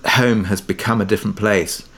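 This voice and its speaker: Male, British, middle-aged. Fairly low register, reflective guest discussing his work, feels his way through each thought. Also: steady tone, normal speed, fairly bright tone. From Britain, partly raised abroad in Kuwait. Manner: hesitant, thoughtful, circling back to rephrase. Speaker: interview guest, audio drama creator. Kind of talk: reflective interview conversation.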